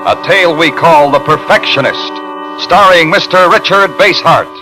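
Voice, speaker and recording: A man's voice: a little high, moderate pace, poor audio. An American man formally presenting a radio suspense series in scripted 1950s announcer style, on a vintage broadcast recording. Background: music.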